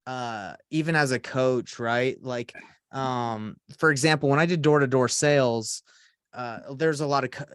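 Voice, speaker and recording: A man's voice: medium pitch, measured pace, fairly bright tone, clear, resonant tone, no room sound, studio quality. A young man in his twenties, a real estate coach being interviewed, talking earnestly with many restarts. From Atlanta, Georgia. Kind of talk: casual podcast interview.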